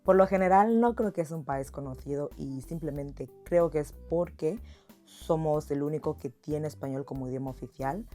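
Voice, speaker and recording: A woman's voice: deep voice, mellow. A woman from Equatorial Guinea talks casually in an interview, sharing opinions and anecdotes. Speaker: documentary interviewee. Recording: music under the voice.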